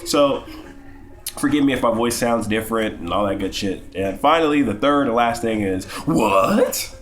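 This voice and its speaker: A man's voice: medium pitch, lively intonation, moderate pace. A young man with a cold, sniffling as he gives a casual, rambling, profane game review with lots of stammered restarts. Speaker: gaming YouTuber.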